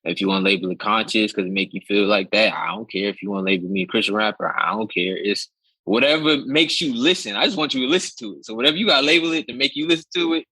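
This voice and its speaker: Husky low-voiced man from Connecticut. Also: fast, no room sound, rough recording. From Connecticut, USA. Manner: relaxed, easygoing, speaks plainly about his faith. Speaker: rapper guest. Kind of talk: casual interview chat.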